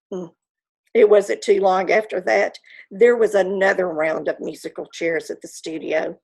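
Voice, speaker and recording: A woman's voice: on the high side, creaky, slightly rough, heavily acted. A woman playing a proud, gossipy 1940s Hollywood stage mother in a theatrical monologue, chatty and self-satisfied, with confiding asides. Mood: indignant, scornful, then arch, wry. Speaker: actor in a staged reading.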